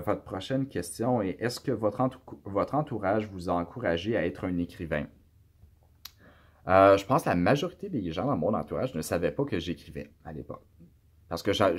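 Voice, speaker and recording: A man from Canada with a Canadian French accent, answering students' questions about his book in a relaxed, hesitant way with many fillers and restarts. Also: low-pitched. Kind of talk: casual spoken answers to a class.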